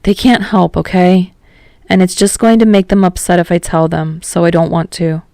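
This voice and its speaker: A woman voicing a downcast, evasive teenage boy in an audio story, casual and halting with some frustration. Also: on the low side, flat delivery, creaky, dark tone, no room sound, studio quality. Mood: weary frustration.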